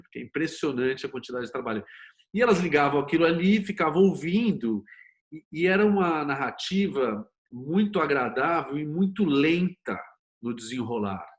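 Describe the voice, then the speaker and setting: Homem brasileiro explicando em entrevista. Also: male voice, a little high. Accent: Brazilian. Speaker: interview guest, series producer and screenwriter. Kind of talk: conversational explanation in an interview.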